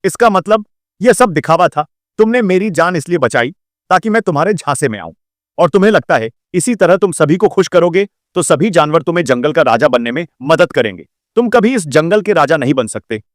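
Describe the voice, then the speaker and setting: A man's voice: a little high, lively intonation, a little fast, no room sound, clean studio sound, natural voice. A man voicing a small, innocent but outspoken rabbit in a cartoon moral story, earnest in manner. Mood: indignant. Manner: earnest, questioning.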